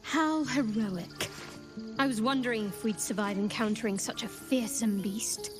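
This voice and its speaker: Actress as sarcastic young adventurer woman, fantasy game, highish voice, faint music. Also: unhurried, close to an everyday voice. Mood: wry, impatient.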